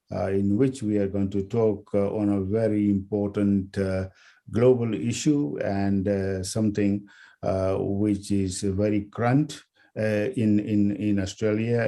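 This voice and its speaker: A man from India hosts the conversation in a low, very dark and mellow voice. He talks very slowly and haltingly, filling nearly every phrase with hesitation sounds, and the pace is unhurried throughout. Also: fairly even intonation. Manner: halting, heavy with filler sounds. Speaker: interview host. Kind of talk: hosting a recorded dialogue.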